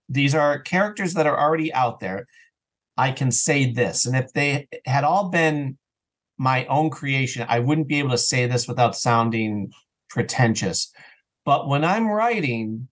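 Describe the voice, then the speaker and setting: A man talking casually as an interview guest about his creative work, telling things in an animated, self-interrupting way. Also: a little high. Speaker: audio drama writer and actor, interview guest.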